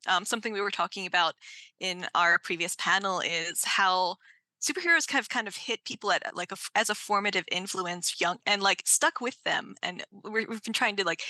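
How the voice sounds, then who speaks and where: Woman, panel moderator, bright tone, dry room sound, informal hesitant phrasing, video call. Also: medium pitch, no echo. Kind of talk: casual Q&A hosting.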